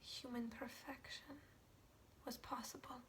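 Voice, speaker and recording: A woman acting a guilt-ridden, fragile Polish woman in a dramatic monologue, with a Polish accent and halting, stammering speech that often drops to whispers. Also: sits high, steady tone, creaky, distant mic, slight background noise, exaggerated voice. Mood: anguished, reverent. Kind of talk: dramatic film monologue, amateur.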